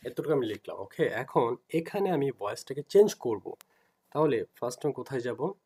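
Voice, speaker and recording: A man with a Bangladeshi accent giving an informal software tutorial, explaining step by step and repeating himself as he teaches. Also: medium pitch, very animated, moderate pace, breathy edge.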